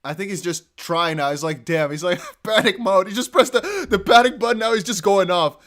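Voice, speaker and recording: Dutch-accented man giving excited live commentary. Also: sits high, fairly quick. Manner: excitable, chatty, laughs often. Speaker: crypto news streamer. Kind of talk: live commentary on a court hearing.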